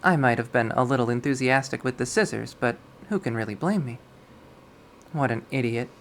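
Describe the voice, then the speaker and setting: A reader playing a possessive young woman narrates a dark visual novel in a measured, wistful tone. She sounds obsessive and coldly cruel, at a middling pitch. There is faint noise in the recording. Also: close to an everyday voice. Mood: chillingly matter-of-fact. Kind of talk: dramatic visual novel readthrough.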